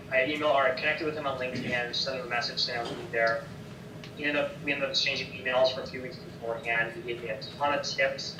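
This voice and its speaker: A young man from Wisconsin with an American accent, sharing informal advice and personal anecdotes as a panelist. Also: mid-range voice. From Wisconsin, United States. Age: young adult. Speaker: panelist advising students. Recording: echoey, distant, noisy background.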